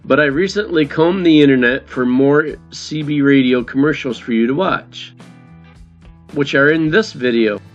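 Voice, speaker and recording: A man's voice: on the high side, normal speed, faint music behind. A man narrating as the host of a video in a casual, scripted style. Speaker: host introducing vintage commercials. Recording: dull lo-fi voice recording.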